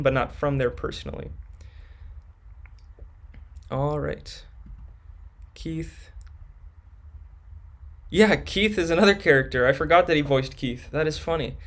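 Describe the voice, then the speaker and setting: A man's voice: on the high side, measured pace, pauses often, fairly bright tone. A young man hosting a live stream, reading viewers' questions from chat and answering them casually.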